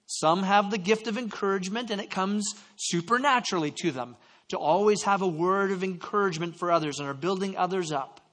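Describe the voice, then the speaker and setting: A man from Canada delivers a sermon in a fairly high voice. He slips into personal stories with self-deprecating asides and stumbles through quick restarts. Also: a bit bright. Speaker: pastor.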